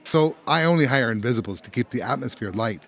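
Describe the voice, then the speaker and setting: Man acting a blunt, animated grumpy bartender. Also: medium pitch, quick pace. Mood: deadpan explaining. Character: matter-of-fact, grumpy male bartender. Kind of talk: comedic audio drama acting.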